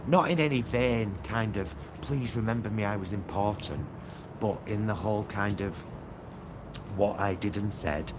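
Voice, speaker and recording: A man talking casually to viewers in a halting, reflective ramble, often ending thoughts with little tag questions. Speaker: vlogger. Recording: loud vehicle and street ambience noise. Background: loud vehicle rumble and ambience.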